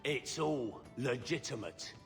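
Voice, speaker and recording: A man's voice: medium pitch, lively intonation, takes it slowly. A man voicing a shady, defensive merchant smuggler in a video game, exasperated and wheedling. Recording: long hall reverb, music behind.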